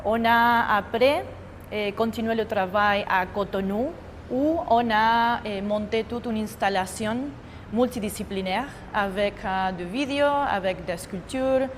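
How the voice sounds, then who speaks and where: A woman's voice: mid-range voice, fairly even intonation. Argentine woman, spanish is her first language, choreographer, lots of ums while she hunts for words, echoey hall, bit of background hum. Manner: halting, searching for words with many fillers. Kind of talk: interview testimony.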